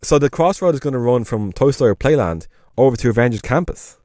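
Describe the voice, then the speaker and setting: A man's voice: mid-range voice, animated, fairly quick, warm tone, dry recording, clean studio sound. An Irish man co-hosting a podcast, chatting casually and enthusiastically, rambling and correcting himself as he goes. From Ireland. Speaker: podcast co-host. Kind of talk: casual chat.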